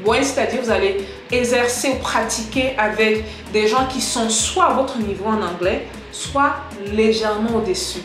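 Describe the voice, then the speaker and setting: A woman giving a casual spoken lesson to camera, lively and direct, talking straight to her viewers. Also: on the high side, normal speed. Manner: lively, direct, addresses viewers as "les gars"; switches into English example sentences. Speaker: English teacher on a video channel. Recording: echoey room with faint music.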